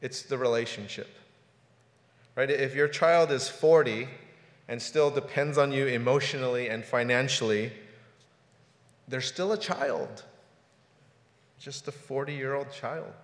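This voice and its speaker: A man's voice: medium pitch, measured pace, pauses often. Man preaching in a reverberant church. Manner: conversational, repeats words as he goes. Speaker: pastor. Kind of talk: sermon. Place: church sanctuary. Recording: roomy hall reverb.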